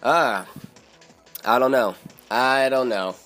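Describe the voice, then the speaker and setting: Casting: hoarse Philly-young man, chatty gaming commentary, faint music bed. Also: medium pitch, takes it slowly, fairly bright tone. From Philadelphia, USA. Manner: chatty, strategizing aloud, frequent fillers. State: hoarse, raspy from yelling. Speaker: gaming YouTuber. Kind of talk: live game commentary.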